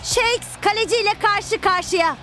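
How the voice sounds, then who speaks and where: A woman playing an upbeat soccer match commentator in an animated cartoon dub. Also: very high-pitched, a little slow, faint music behind. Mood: brisk commentary.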